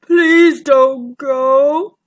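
A woman voicing a huge, lonely female dinosaur in a children's story, with a sad, plaintive, drawn-out delivery. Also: sits high. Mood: sad, pleading.

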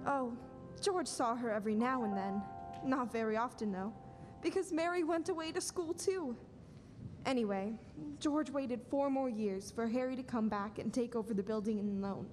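Patient radio-play storyteller female actor, high voice, explanatory, in an echoey auditorium. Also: normal speed, close to an everyday voice. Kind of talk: scripted radio play narration. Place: large school auditorium stage. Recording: echoey auditorium, loud music behind.

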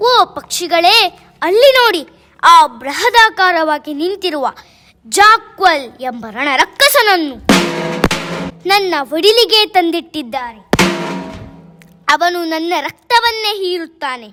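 A woman's voice: very high voice, animated, slow, pauses often, over music, full character voice. A woman voicing a sorrowful river that weeps and laments being wronged, in declamatory speech. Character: weeping female river spirit, sorrowful and wronged. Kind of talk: amateur radio play.

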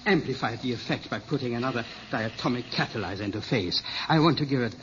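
An actor playing an elderly, absorbed scientist and father in an old radio drama, speaking in a deliberate, hesitant lecturing way. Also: mid-range voice, animated, a bit bright, close to an everyday voice. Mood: preoccupied. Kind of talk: 1950s radio drama acting. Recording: muffled old radio recording with hiss.

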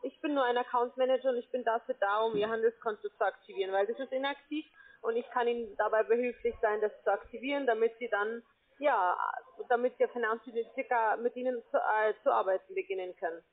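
High-pitched woman on a tinny phone line. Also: fast. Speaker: call center account manager, telesales agent. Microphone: telephone.

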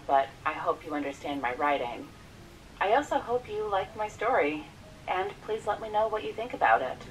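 A woman reading out a first-person story in an even, scripted narration, played back from an animated video. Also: sits low. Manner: even, read-aloud delivery. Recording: distant, slightly noisy playback.

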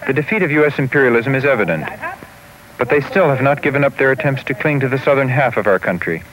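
A man's voice: medium pitch, lively intonation, quick pace, crowd noise, rough recording. A man delivering scripted documentary narration on an old 16mm film soundtrack.

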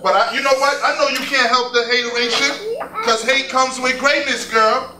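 A man's voice: extremely high pitch, moderate pace. A man playing a cocky, joking young man in a comic stage scene, boastful, slangy and playful. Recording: echoey hall, distant mic, audience noise.

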